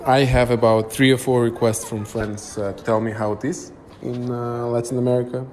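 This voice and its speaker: A man from Moscow with a Russian accent, answering interview questions conversationally with pauses mid-sentence. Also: mid-range voice, little pitch movement, darkish tone, slight background noise.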